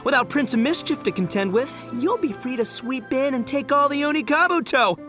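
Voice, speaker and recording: Brash game hustler, boisterous and laughing, bright voice, music faint behind. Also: male voice, very high voice, animated, a little fast, heavily acted. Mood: animated. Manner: boisterous, laughs a lot, slangy. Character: brash young male beetle-battle champ, boastful hustler. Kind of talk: video game voice acting.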